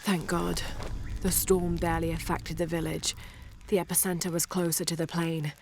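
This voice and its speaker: An actress playing a determined archaeologist adventurer in a video game, brisk and focused. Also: female voice, lowish voice, little pitch movement, gravelly. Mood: worried. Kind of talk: video game cutscene acting. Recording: game audio with ambient noise.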